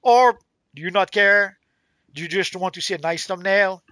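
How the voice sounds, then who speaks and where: Hesitant high-voiced man. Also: moderate pace, pushed and bright, clear, resonant tone, dry recording, clean studio sound. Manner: hesitant, many "uh" fillers and repeated words. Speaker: stream co-host. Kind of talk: casual livestream chat.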